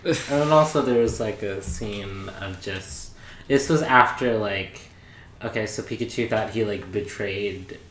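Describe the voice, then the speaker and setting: A man's voice: fairly low pitch, unhurried, fairly bright tone. A man co-hosting a podcast, casually retelling a movie plot to a group. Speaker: podcast co-host. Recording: roomy echo, background noise.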